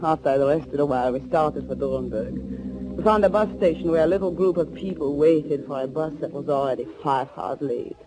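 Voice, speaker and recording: A woman's voice: sits low, normal speed, over loud music, natural voice. An actress playing an anguished young woman in a 1940s radio thriller, speaking in a dramatic, fearful way. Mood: tense narration. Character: anguished young woman fleeing to avenge her lover. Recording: noisy 1940s radio recording.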